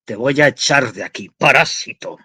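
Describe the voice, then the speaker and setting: Man putting on a shouting domestic tyrant, older father figure, loud rant. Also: mid-range voice, normal speed, husky, no echo, studio quality. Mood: furious. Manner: ranting, shouting.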